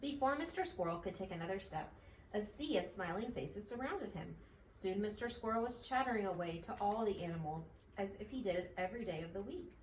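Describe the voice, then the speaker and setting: A woman's voice: medium pitch, animated, a little fast. Woman reading a storybook, echoey room. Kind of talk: children's story read-aloud. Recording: echoey, slightly distant, faint noise.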